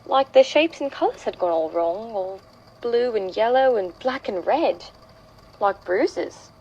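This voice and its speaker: A woman's voice: on the high side, expressive, a little slow, darkish tone, dull audio. A woman playing an anxious young woman giving a hesitant, conversational account of frightening, uncanny events in a horror audio drama. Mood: troubled, puzzled. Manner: hesitant, conversational, trailing off and self-correcting. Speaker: voice actor in a horror fiction podcast. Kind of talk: naturalistic confessional horror monologue.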